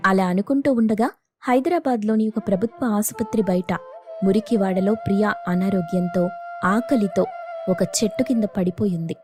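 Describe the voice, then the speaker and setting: A woman's voice: mid-range voice, moderate pace, a little mellow, soft background music. A woman narrating a scripted dramatic moral story.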